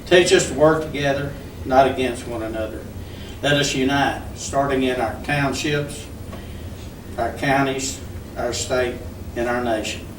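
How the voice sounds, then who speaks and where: Pastor praying, earnest and steady, mid voice, echoey room, far from the mic. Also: male voice, medium pitch, measured pace, background noise. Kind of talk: spoken prayer. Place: community center hall.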